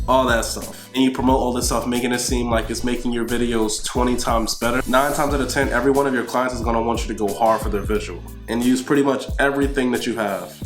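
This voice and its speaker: A man's voice: mid-range voice, reverberant. A man, a video director and editor, giving casual advice to camera while congested from the flu, talking directly and with slang. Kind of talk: casual tips vlog to camera.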